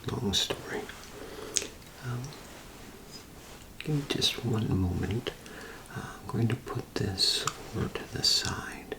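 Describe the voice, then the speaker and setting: A man doing an ASMR role play as a gentle, hesitant old antique store keeper, speaking haltingly in a half-whispered, creaky voice. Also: on the low side, poor audio. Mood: hesitant, courteous. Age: older. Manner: halting, hesitant, repeats himself, polite.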